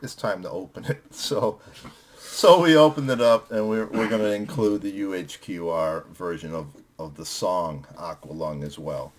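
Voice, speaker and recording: A man's voice: low voice, moderate pace, a little hiss or hum. A man hosting a music vlog, chatting casually as he reviews records with friends.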